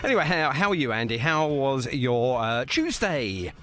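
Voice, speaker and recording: A man's voice: medium pitch, big pitch swings, normal speed, fairly bright tone, faint music behind. A man hosting a live radio show in a casual, jokey, rambling way.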